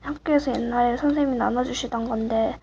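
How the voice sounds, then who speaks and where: Soft-spoken teen girl, rough voice. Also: a little high, fairly even intonation, quick pace. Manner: soft-spoken, apologetic, hushed at times. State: voice a bit rough. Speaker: vlogger. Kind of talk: casual show-and-tell of swap items. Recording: muffled home recording with clear background hiss.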